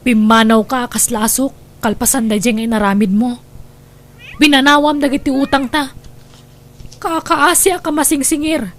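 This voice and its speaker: A high-pitched, plaintive wife , acted by a woman in an Ilocano-accented audio drama. She is wounded and reproachful toward her husband, with noticeable noise around the voice. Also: fairly even intonation, moderate pace, lightly acted. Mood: hurt, reproachful.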